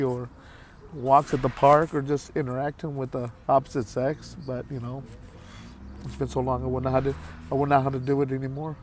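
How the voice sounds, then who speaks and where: A man in his late fifties talking candidly to his viewers in a halting, heartfelt way, with many pauses and repeated phrases. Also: on the high side, a little hiss or hum. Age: 50s. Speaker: vlogger. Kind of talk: candid talk to camera.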